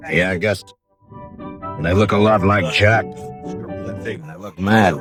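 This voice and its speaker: Deep-voiced, terse frontier lawman. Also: steady tone, slow pace, over music. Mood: calm resolve. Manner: terse, commanding, dry. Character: stern, fearless frontier US marshal, grown man, dry and unyielding. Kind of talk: 1950s radio western acting.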